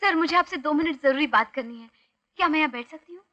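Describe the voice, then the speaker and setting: A woman's voice: high-pitched, quick pace, pushed and bright, highs cut off. A woman playing a cheeky young woman who teases a man into losing his temper, with a sly, mock-worried manner.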